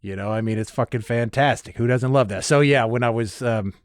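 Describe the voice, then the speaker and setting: A man's voice: mid-range voice, brisk, no room sound, clean studio sound. A man hosting a podcast alone, chatting casually and rambling, sweary and self-deprecating with nerdy enthusiasm. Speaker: podcast host. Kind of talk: casual, rambling solo chat.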